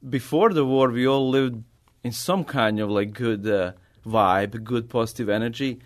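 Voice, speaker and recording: A middle-aged man from Bosnia with a Bosnian accent, a native Bosnian speaker answering interview questions as an artist in halting, non-native English. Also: mid-range voice. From Bosnia, former Yugoslavia. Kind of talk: conversational interview answers, personal storytelling.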